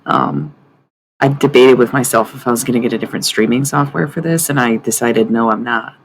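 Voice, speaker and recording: Raspy-voiced woman streamer, gravelly. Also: extremely low pitch, expressive, brisk, slight background noise. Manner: rambling and loose, swears freely, chatting to viewers. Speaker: livestream host. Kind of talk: casual live-stream chat. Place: at home at night, others asleep nearby.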